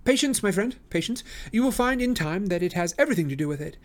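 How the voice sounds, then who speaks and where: A man reading aloud and voicing a brilliant, eager male detective in a raised, excited tone. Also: fast. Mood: brisk, confident. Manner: excited, exclamatory, raised high voice.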